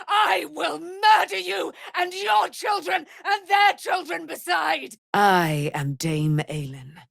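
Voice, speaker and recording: An actress playing a proud, wrathful immortal warrior paladin in a fantasy video game, declaiming in grand archaic, formal language. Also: female voice, high voice, vocal fry, no room sound, clean studio sound, full character voice. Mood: furious, defiant.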